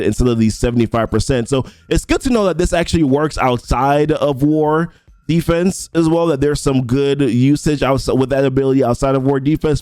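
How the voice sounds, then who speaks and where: A man's voice: mid-range voice, a little mellow, clear, resonant tone, no room sound. A young adult man giving casual commentary on a mobile game update. He reads ability text aloud and rambles with frequent restarts. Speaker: mobile game content creator. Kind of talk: casual game commentary reading patch notes.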